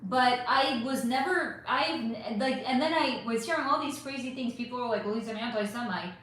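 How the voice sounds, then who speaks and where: A woman with a slightly high, somewhat hoarse voice runs a casual live stream, answering her chat in a rambling, emphatic way and swearing now and then. The sound is drenched in strong room echo. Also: moderate pace, fairly bright tone, a little hiss or hum. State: voice going hoarse. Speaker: psychic channel host reading live chat. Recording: heavily echoey room.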